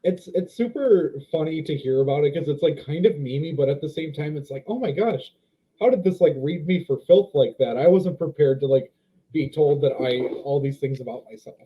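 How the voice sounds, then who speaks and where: A man's voice: a little high, fairly quick. A man in his twenties, a dog trainer guest on a casual podcast, who talks expressively but often hesitates to find his words. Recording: slightly dull lo-fi sound.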